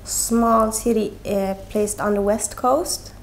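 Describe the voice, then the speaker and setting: Young woman, open and unrehearsed, hesitant phrasing, some vocal fry. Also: fairly high pitch, fairly even intonation, slight background noise, rough recording. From Sweden. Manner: halting, with frequent pauses and "like". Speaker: guest. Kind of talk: candid personal conversation.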